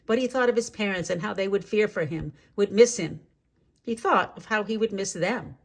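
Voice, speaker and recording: Female storybook reader, mid-pitched, expressive and measured, with dramatic phrasing for a children's tale. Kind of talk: expressive read-aloud children's story narration.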